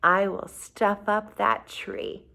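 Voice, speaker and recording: A woman's voice: medium pitch, fairly even intonation, slow pace, creaky, sharp tone, pitched below the performer's own voice, heavily acted. A woman voicing a sly, grumpy male storybook creature who gloats and talks with slick mock sweetness. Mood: gloating. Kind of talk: children's storybook read-aloud.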